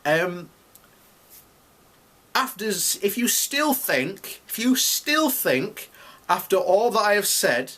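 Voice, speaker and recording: A man's voice: fairly high pitch, a bit bright. A man giving unscripted, opinionated commentary as a video blogger, speaking emphatically and indignantly and repeating himself to drive points home.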